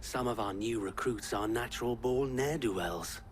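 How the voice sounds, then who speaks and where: An actor playing a callous, sneering bandit thug in a video game. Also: male voice, medium pitch, moderate pace, slight background noise, close to an everyday voice.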